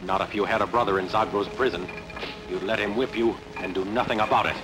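An actor playing a strong, calm, selfless captive hero in a dubbed sword-and-sandal film. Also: male voice, fairly low pitch, quick pace, natural voice, rough recording. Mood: resigned. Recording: film dub with loud music.

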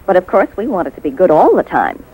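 An American woman, a home economist, giving crisply instructive scripted advice in a 1950s radio advertisement. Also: lowish voice, big pitch swings, quick pace, mellow, low-quality recording. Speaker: home economist, sponsor spokeswoman.

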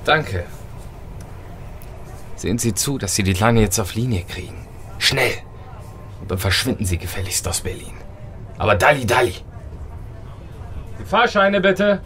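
Bossy ticket inspector, swooping pitch. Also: male voice, sing-song, unhurried, long pauses, fairly bright tone, slight background noise. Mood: bossy, dismissive. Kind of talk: German audio drama acting.

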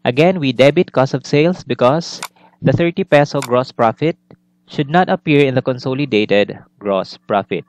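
A man's voice: mid-range voice, no echo. A man giving a recorded accounting lesson, explaining methodically step by step and restating his points. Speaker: accounting instructor. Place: indoors, screen-recorded lesson voice-over.

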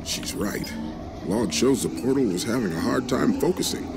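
A man's voice: medium pitch, lively intonation, creaky, very noisy. A man playing a tough, no-nonsense special forces commander in a game cutscene. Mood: brisk. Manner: brisk, no-nonsense.

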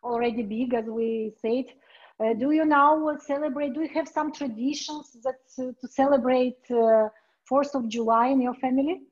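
A woman's voice: high voice, steady tone, moderate pace, lo-fi sound. A young woman hosting a cooking chat from her home kitchen over a video call, asking questions with hesitant fillers. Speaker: host. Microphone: laptop video call.

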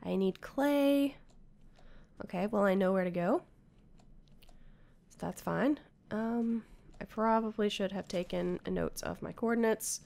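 Slow-talking woman playing a game, hoarse. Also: medium pitch, warm tone. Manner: talks to the creatures on screen, mutters to herself. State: losing her voice, coughing. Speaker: gamer. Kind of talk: casual game commentary. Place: home room with bookshelves. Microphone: headset.

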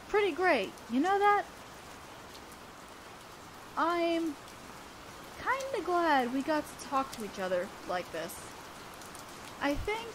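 A young woman playing an awkward, earnest teenage student council president in a romantic audio roleplay, speaking in a shy, halting way. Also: sits high, background noise, heavily acted.

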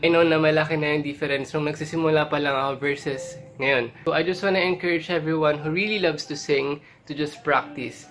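A young adult man with a Filipino accent talks fast and encouragingly to camera in a casual vlog, switching between languages. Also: fairly high pitch, fairly bright tone, slight background noise. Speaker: vlogger and amateur singer. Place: small bedroom indoors.

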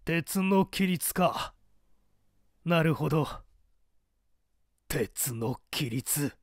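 A man's voice: a little high, animated, extremely slow, dry recording, clean studio sound, full character voice. A man voicing an agitated, authoritative police section chief, blunt and tense, in a dramatized audiobook. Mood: stunned, pensive.

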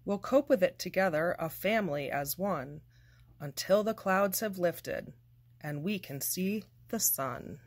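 A woman reading a children's picture book aloud with deliberate, expressive, measured phrasing. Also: fairly low pitch, dry recording. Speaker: storybook reader.

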